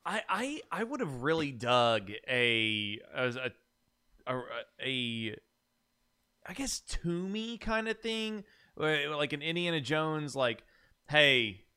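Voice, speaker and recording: A man's voice: a little high, big pitch swings, sharp tone, clear, resonant tone. A man hosting a casual film discussion, often repeating words as he feels for his point. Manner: halting, repeats words while thinking. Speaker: podcast host.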